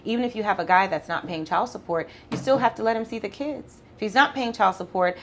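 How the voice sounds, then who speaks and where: A woman with a Jamaican accent, an attorney explaining law in a casual interview, talking quickly and laughing often. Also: medium pitch, a little hiss or hum. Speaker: family law attorney, podcast guest.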